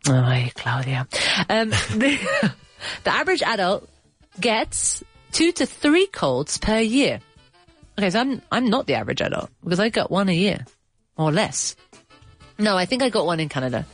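A woman's voice: medium pitch, big pitch swings, normal speed, faint music behind. A woman hosting a casual bilingual chat, explaining English words, chatty and laughing, with a runny nose. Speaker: podcast host teaching English.

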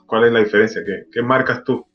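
A man hosts a casual podcast chat. His voice is mellow and sits at an average male pitch. The audio is a little dull, with music behind him. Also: fairly even intonation, brisk. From Venezuela. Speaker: podcast host. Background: background music.

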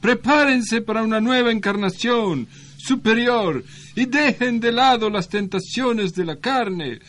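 High-pitched solemn guru, put on by a man. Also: a bit bright. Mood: solemn, preachy. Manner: chanting, repetitive, slow phrases. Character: solemn Indian-style guru renouncing all earthly pleasures. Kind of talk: parody spiritual message, radio sketch.

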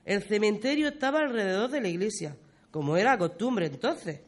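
A performer playing a talkative, fond old grandmother, reminiscing in folksy, expressive style in a community radio play. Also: medium pitch, a little fast, natural voice. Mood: chatty, storytelling. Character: talkative, fond old village grandmother telling local history.

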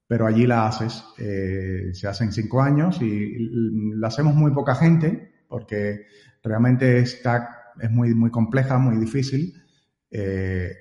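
A man from Cuba with a Cuban accent, answering interview questions in a relaxed way, often repeating words and pausing with "eh" while he thinks. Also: fairly low pitch. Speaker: guest. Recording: roomy echo, long reverb, dull lo-fi sound.

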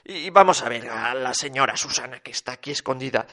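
A man voicing a calm, soothing elderly mother in a comic story. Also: mid-range voice, a little fast, pitched below the performer's own voice.

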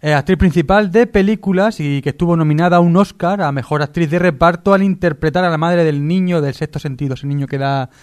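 Higher-voiced man hosting casual radio. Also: fast, few pauses, a little mellow. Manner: informal, jokey, rambling. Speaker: radio show host. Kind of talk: casual pop culture review.

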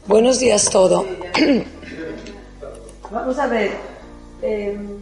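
A middle-aged woman with an Italian accent, giving a chatty, lively conference talk as an architect and putting questions to the audience. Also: mid-range voice, very slow. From Italy. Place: lecture hall. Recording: echoey hall with faint music.

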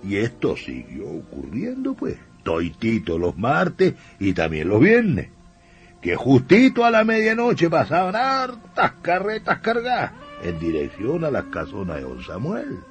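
Animated rural Chilean storyteller. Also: male voice, mid-range voice, a little slow, faint music behind. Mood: confiding, suspenseful. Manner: colloquial, suspenseful, theatrical. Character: folksy country storyteller, man, spinning a spooky tale. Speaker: radio drama narrator. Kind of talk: folksy radio theatre storytelling.

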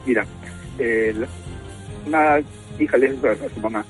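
A man calling in to a radio show, chatty and telling a joke haltingly. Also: mid-range voice, soft background music, poor audio. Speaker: caller.

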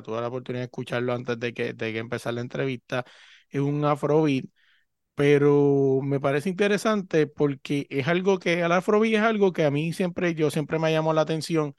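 A man's voice: on the high side, normal speed, smooth, no room sound, clean studio sound. A man from Puerto Rico hosting a podcast interview, chatty and full of fillers. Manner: chatty, loaded with filler "o sea". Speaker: podcast host. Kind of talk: conversational interview. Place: Virginia.

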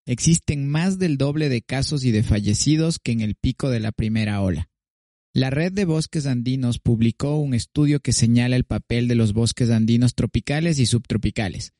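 A man, a podcast host reading a scripted intro and headlines in an even delivery. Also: mid-range voice, normal speed, no room sound, clean studio sound. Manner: even, read-aloud delivery.

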